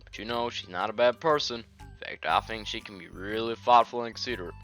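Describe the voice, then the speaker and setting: A confident, caring schoolgirl club leader played by a young British man in a video game playthrough. She talks upbeat and reassuring in a low, bright-edged man's voice. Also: a little fast, soft background music.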